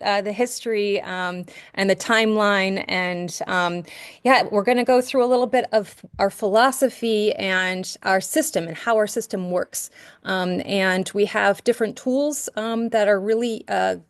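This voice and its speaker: A woman's voice: medium pitch, no room sound, studio quality. Upbeat middle-aged New Yorker woman. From New York. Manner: upbeat, frequent ums and restarts. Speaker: international skincare trainer. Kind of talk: webinar presentation. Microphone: video call.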